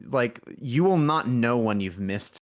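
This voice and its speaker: A man's voice: mid-range voice, animated, measured pace. A man chatting casually as an interview guest, thinking aloud in a rambling way. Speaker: indie game developer, podcast guest.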